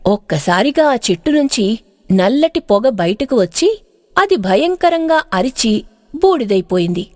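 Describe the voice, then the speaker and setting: A woman narrator tells a folk tale in dramatic storybook style. Her voice is high with wide, animated swings in pitch. The recording is tight and dry. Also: measured pace, no echo.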